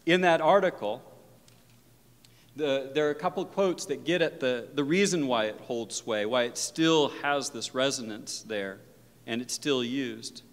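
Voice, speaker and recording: A man's voice: mid-range voice, measured pace. A male professor of religion giving an earnest, reflective university lecture that mixes in personal stories. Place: lecture hall. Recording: long reverb of a lecture hall.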